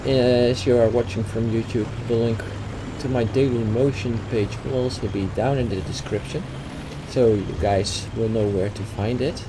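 A man's voice: medium pitch, steady tone, moderate pace. A young man from the Netherlands with a Dutch accent, talking over a game replay with hesitant fillers and restarts. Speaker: gaming YouTuber. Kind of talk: gameplay commentary over a replay. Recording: game noise behind the voice.

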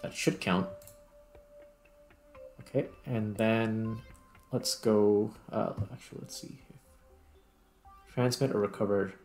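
A man's voice: on the low side, fairly even intonation, slow pace, long pauses, a little mellow, faint music behind. A man doing casual gameplay commentary as a video host, thinking aloud and correcting himself as he goes.

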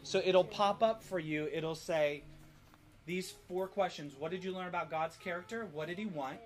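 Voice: mid-range voice, normal speed. Parent teaching from a distance. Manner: instructive, quizzing, repeats answers back. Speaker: parent leading family Bible study. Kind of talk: teaching children with questions. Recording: distant mic with light background noise.

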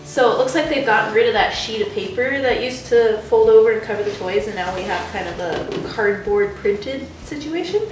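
A woman's voice: on the high side, a little fast, hardly any pauses, far from the mic. A young woman with a congested head cold, chatting casually while she unboxes a gift box and talks to her dogs. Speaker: vlogger unboxing dog gifts. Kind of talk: casual chat. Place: small bedroom, seated on a couch. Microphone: camera mic at a distance. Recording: roomy, off-mic, with background music.

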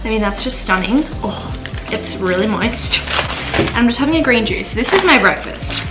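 A woman's voice: fairly high pitch, animated, normal speed. Chatty young woman vlogging. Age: 20s. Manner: chatty, upbeat, rambling. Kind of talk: casual vlog chat to camera. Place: home rooms indoors and windy beach park outdoors. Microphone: handheld camera close to face. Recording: background music under voice. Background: music.

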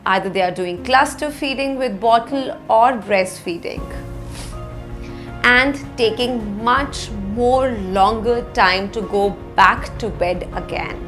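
A woman in her thirties with an Indian accent presents an explainer lesson to camera, phrasing things deliberately and with emphasis. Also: fairly high pitch. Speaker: parenting educator, presenter. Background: background music.

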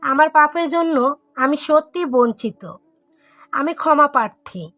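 A woman's voice: high voice, lively intonation, unhurried, fairly bright tone, dry recording. A woman playing a cornered wrongdoer in an audio drama, pleading emotionally for mercy. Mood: desperate pleading. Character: cornered wrongdoer begging for mercy, secretly spiteful. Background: music.

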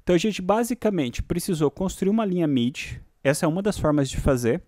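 A man with a Brazilian Portuguese accent casually walking through music software as a tutorial host, often checking in with the listener. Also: sits high, animated, fast talker, no echo, clean studio sound.

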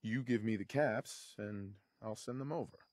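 A man playing a level-headed, principled wasteland adventurer in a video game, measured and plain-spoken. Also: lowish voice, darkish tone, slight background noise, close to an everyday voice. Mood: calm, firm. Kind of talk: video game dialogue acting.

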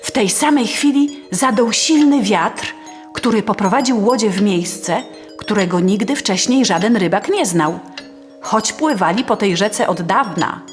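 A woman's voice: medium pitch, expressive, a little slow. A woman narrating a scripted fairy tale as a storyteller. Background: faint music.

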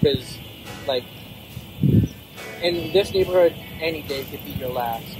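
A man's voice: a little high, measured pace, over music, rough recording. A man chatting casually with filler words, standing outdoors by a lake. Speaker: local passerby. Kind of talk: casual chat.

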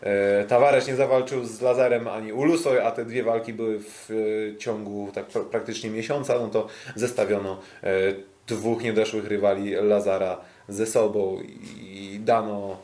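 A man hosting a casual sports podcast, talking in a hesitant, halting way. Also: fairly low pitch, level tone, darkish tone. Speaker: podcast host. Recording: roomy, echoing sound.

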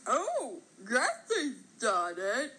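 Woman voicing a high, cutesy little cartoon grass kid. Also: wildly swooping pitch, extremely slow, sharp tone, breathy edge, full character voice. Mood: curious, innocent. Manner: cutesy, refers to self in third person. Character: small childlike grass character, naive, cutesy, third-person talker. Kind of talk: cartoon character voice lines.

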